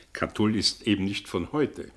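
A man's voice: lowish voice, lively intonation. An actor in a German radio play playing a suspicious, sly middle-aged tutor with a theatrical, probing way of drawing out his phrases. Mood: wry, probing. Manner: theatrical, probing, drawn-out phrasing with sudden swings. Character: suspicious, sly English tutor, a man living under an alias.

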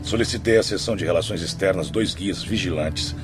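An actor playing an authoritative, composed director of a spirit hospital in a radio drama, formal and commanding. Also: male voice, low voice, fairly quick, over music, natural voice.

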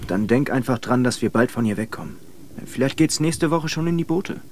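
Man playing soft-spoken crewmate, mid-pitched. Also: animated, a little fast, slight background noise, lightly acted. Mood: gentle, reassuring. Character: Billy, caring male crewmate, gently reassuring.

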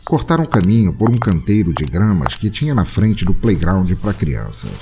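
Very deep, dramatic Brazilian male narrator. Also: big pitch swings, moderate pace. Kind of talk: scripted comic audio-drama narration. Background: music bed.

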